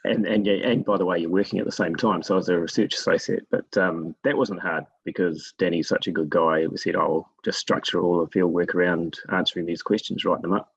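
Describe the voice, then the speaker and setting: Gravelly, very deep-voiced man from New Zealand. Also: steady tone, brisk, hardly any pauses, no echo, highs cut off. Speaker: guest expert in forest monitoring. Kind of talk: conversational interview storytelling.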